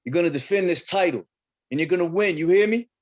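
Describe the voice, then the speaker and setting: A man's voice: high-pitched, fast, a little mellow. A man reading the part of a pushy, ranting boxing coach in a film script table read, emphatic and commanding. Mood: fierce, commanding. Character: ranting, pushy male boxing coach, conspiracy-minded, gruff. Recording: dull, lo-fi video call.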